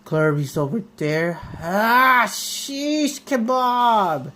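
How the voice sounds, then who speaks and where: A woman game streamer reacting casually and with animated exclamations on a phone livestream with faint noise. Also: fairly high pitch, drawn-out words.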